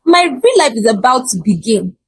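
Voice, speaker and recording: A woman's voice: on the high side, sing-song, normal speed, slight background noise, pitched below the performer's own voice. A woman storyteller voicing an adoring, starry-eyed young village bride in a folktale. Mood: dreamy.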